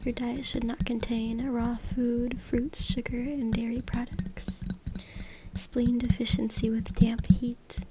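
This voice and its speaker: Whispering woman, breathy ASMR reading. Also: fairly high pitch, level tone, takes it slowly. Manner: hushed, informal. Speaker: ASMR creator. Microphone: close USB microphone. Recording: noisy USB mic with clicks, tapping. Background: tapping, scratching, clicks.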